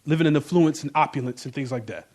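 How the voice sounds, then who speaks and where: A man's voice: fairly high pitch, quick pace, darkish tone. A man giving an informal Islamic lecture, emphatic and repetitive, often checking in with rhetorical questions. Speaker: religious teacher giving a class.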